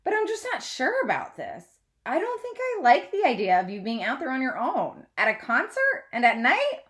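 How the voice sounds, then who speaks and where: A gentle, protective mother from a storybook, acted out by a woman reader. She sounds doubtful and questioning, with very lively rises and falls in pitch. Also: moderate pace, heavily acted. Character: gentle, doubtful, overprotective mother of a seventh-grader.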